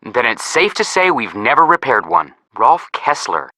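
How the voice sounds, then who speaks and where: A sarcastic young male detective in a game. The voice is very bright and sharp, with animated intonation. It is recorded dry, and he jokes like a teasing younger brother. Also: medium pitch, normal speed, no room sound. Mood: upbeat, joking. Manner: sarcastic, joking. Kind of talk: scripted game dialogue.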